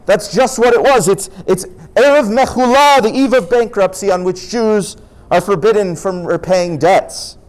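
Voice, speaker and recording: A man voicing a wily, evasive merchant in a folk tale, emphatic and quick-witted. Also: high-pitched, voice pushed up, close to an everyday voice, low-quality recording. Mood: slyly emphatic. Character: wily, evasive male merchant dodging debts.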